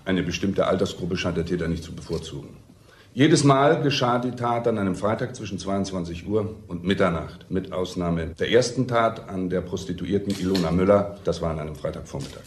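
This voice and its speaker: A grave police commissioner with a very low voice, played by a man. He states facts in a clipped way in a radio crime play, in an echoey room with faint noise. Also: brisk, natural voice. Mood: grave, factual. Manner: factual, clipped. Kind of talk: radio crime drama acting.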